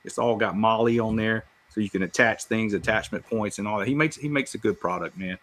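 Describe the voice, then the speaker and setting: A man's voice: lowish voice, fairly quick, over a noisy crowd. A man chatting casually as a podcast panelist, in a folksy, informal, rambling way. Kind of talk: casual chat.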